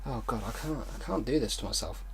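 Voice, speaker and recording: A man hosting an online video, reading fan fiction aloud haltingly with exasperated, disbelieving commentary. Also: medium pitch, animated, vocal fry, a bit bright, slight background noise.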